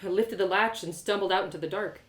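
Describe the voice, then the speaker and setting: A woman storyteller giving a dramatic, deliberate first-person narration from a script. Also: medium pitch, reverberant.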